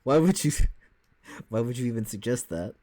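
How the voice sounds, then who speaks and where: Casual man co-hosting with mid-pitched voice. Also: expressive, normal speed, mellow. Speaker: reaction co-host. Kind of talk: casual reaction commentary.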